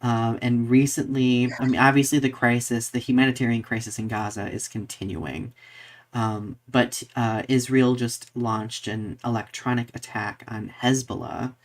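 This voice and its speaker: A man's voice: medium pitch, steady tone, moderate pace. A man with a mid-range voice co-hosts a casual chat podcast. He talks expressively, leaning on words for emphasis and often circling back to find his phrasing. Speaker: podcast co-host.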